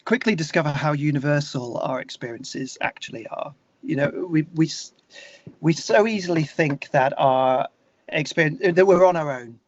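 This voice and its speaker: Higher-voiced man, earnest and halting. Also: no echo. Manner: earnest, halting, with emphatic stresses. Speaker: guest, singer-songwriter. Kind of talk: conversational interview, reflective. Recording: slightly dull, lo-fi conversation audio.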